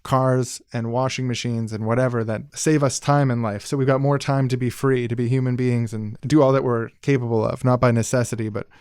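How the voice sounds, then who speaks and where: A man's voice: mid-range voice, level tone, a little fast, few pauses, darkish tone, smooth, no echo, studio quality. A man co-hosting a podcast, giving conversational political commentary in a sardonic, casual way. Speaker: podcast co-host.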